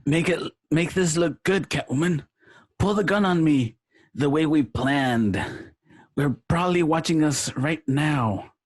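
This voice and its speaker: A man's voice: fairly high pitch, a little slow. A man voicing a gleeful, scheming criminal clown with a sly, giggling manner in a home-recorded radio play. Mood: urgent. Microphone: home microphone.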